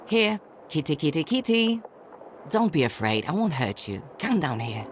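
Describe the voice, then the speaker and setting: An actor playing a brave, earnest young boy in an adventure game, with expressive delivery. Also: medium pitch, normal speed, a little hiss or hum, natural voice. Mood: coaxing. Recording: music under the voice.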